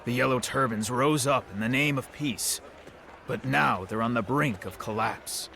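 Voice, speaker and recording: A chatty innkeeper talks in a bright, forward tone at a mid pitch. He is polite, nosy and eager to serve, with faint town ambience behind him. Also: unhurried, vocal fry, a little hiss or hum. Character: friendly, chatty male innkeeper. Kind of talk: game cutscene voice acting.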